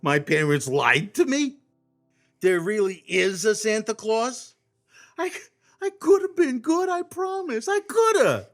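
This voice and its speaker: Man playing an excitable, high-pitched street thug. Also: unhurried, faint music behind, full character voice. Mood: pleading. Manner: belligerent, incredulous. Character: aggressive, gullible male street robber, easily rattled. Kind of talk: audio play dialogue.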